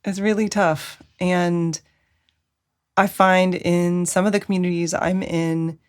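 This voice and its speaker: Gentle, hesitant lower-voiced woman. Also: little pitch movement, takes it slowly. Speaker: podcast co-host. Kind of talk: reflective conversational storytelling.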